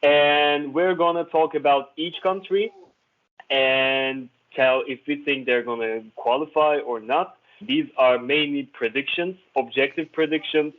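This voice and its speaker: A man's voice: fairly high pitch, a little slow. A man hosting a casual group discussion, heard through a tinny, band-limited connection. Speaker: host.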